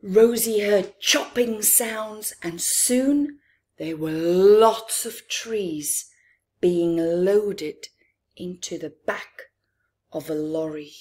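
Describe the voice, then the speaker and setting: Very slow, expressive woman storyteller. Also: mid-range voice, breathy edge. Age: middle-aged. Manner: expressive, dramatic pauses and emphasis, chatty asides to listeners. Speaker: children's story reader. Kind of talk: read-aloud storytelling for young children. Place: living room, indoors.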